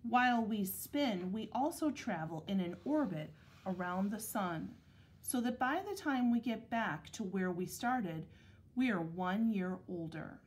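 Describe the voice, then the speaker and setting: A woman reads a children's picture book aloud as a schoolteacher would. Her pace is slow and her phrasing is deliberate, with a mid-range pitch. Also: expressive. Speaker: elementary school teacher reading aloud.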